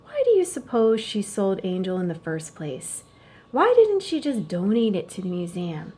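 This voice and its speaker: A woman's voice: mid-range voice, sing-song, normal speed, warm tone, slightly rough. Thoughtful young girl read by a woman. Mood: puzzled, reasoning. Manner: earnest, reasoning. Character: thoughtful young girl, reflective older sister.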